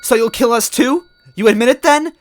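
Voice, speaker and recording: Man putting on a shrill little mushroom girl. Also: fairly quick, no room sound. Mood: angry, defiant. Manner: shrill, pleading, argumentative.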